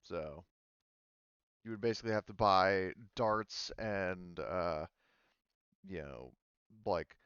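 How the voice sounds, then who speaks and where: Muffled, smooth low-voiced man running a game. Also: a bit bright, no echo. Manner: rambling, halting explanations. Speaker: game master. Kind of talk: casual tabletop game talk.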